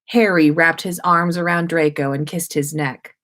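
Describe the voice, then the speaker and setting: A woman reading a story aloud as expressive narration, like a host at story time. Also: deep voice, normal speed. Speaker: host reading listeners' stories on a livestream.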